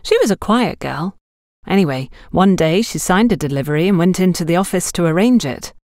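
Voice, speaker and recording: A woman's voice: fairly low pitch, animated, brisk, a little mellow, dry recording, clean studio sound. A woman voicing a suspicious, confiding young female shop worker in an audiobook, telling what they know warily. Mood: confiding, wary. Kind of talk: audiobook character dialogue.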